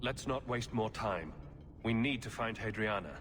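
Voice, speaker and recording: An actor playing a confident, decisive adventuring hero in a video game, speaking calmly and resolutely. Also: male voice, fairly low pitch, normal speed, slight background noise.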